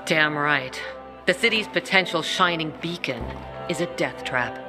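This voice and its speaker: An actor playing a tough peacekeeper commander who speaks in a gruff, curt way. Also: medium pitch, unhurried, music in the background, natural voice.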